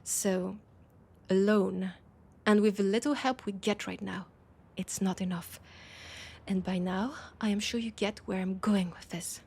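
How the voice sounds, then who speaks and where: A woman acting out a proud vampire lady with a French accent. Her voice is husky and frayed with gravelly creak at the edges. She speaks earnestly and haltingly, worried but dignified, as in an intimate audio drama. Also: mid-range voice, steady tone, a little mellow. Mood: grave, troubled. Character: proud, worried female vampire of a supernatural council. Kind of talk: intimate scripted audio roleplay.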